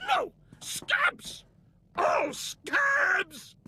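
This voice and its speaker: An actor playing a deranged, pompous Imperial commander in a video game, barking imperious orders in a shrieking rant. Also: male voice, extremely high pitch, wildly swooping pitch, very slow, pushed and bright, full character voice. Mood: frantic, outraged. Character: deranged, pompous male Imperial military commander, ranting in rage.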